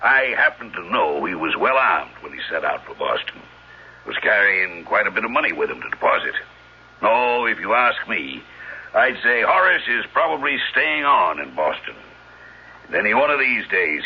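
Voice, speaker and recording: An actor playing a jaunty old bon vivant with a raspy voice, glib and theatrical, in an old-time radio drama. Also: male voice, on the low side, very animated, moderate pace, exaggerated voice. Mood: self-assured. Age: older. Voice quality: raspy, husky. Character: jaunty old drifter, quipping, wine-loving bon vivant. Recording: dull, band-limited old radio recording.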